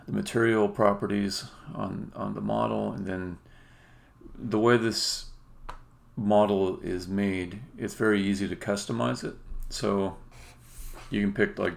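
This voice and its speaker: A man who develops indie games, talking casually and haltingly as he thinks aloud through a live-stream demo. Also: fairly low pitch, fairly even intonation, creaky.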